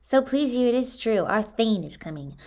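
An actress playing a deferential messenger reporting to nobles in a Shakespearean radio drama. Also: female voice, fairly high pitch, animated, normal speed, a little mellow. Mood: urgent.

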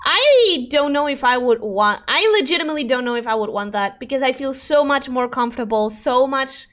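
A woman's voice: sits high, brisk. A woman who is a native Spanish speaker, chatting casually and expressively as she livestreams a game. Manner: animated, expressive, exclaiming. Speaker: game streamer. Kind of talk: casual livestream chat over gameplay.

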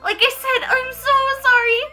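A woman's voice: very high voice, level tone, measured pace, bright, forceful tone, exaggerated voice. A woman voicing a nervous, apologetic, geeky female lizard monster in a comic dub, flustered and anxious. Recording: voice over background music.

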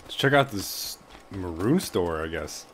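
A young man with a deep voice giving casual, excitable gameplay commentary from his bedroom, swearing a lot and calling everyone dude. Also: measured pace, a little hiss or hum. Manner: excitable, swears constantly, says "dude" and "bruh". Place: small bedroom.

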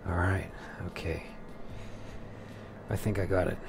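Low, gravelly man, halting and hesitant. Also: flat delivery, noisy background. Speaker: let's play roleplayer. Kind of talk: improvised in-character roleplay over a video game. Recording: loud game music behind. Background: game music.

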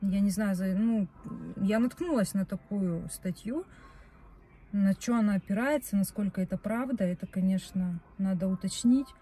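A young woman chats on and on from the driver's seat of a parked car, filmed by a phone on the dashboard. Her voice is mellow and a little husky, and she rambles, stopping often to correct herself. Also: mid-range voice, normal speed, faint music behind. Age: young adult. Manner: chatty, rambling, self-correcting. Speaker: vlogger. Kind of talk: casual chat to camera. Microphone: phone camera on dashboard.

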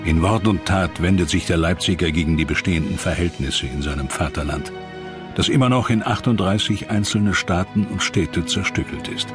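A man narrating a documentary from a script in a measured, formal, dramatic way. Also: deep voice. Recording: clear music bed behind voice.

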